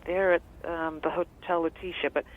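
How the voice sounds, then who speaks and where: A woman's voice: on the low side, flat delivery, moderate pace. An actress playing a suspicious, anxious middle-aged mother in a radio drama, questioning hesitantly through a telephone filter. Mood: worried, pressing. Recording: tinny phone line with background noise.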